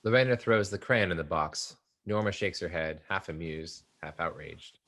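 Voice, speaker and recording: A man serves as narrator for a scripted play reading. His voice sits very low as he reads out the stage directions. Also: moderate pace, fairly bright tone.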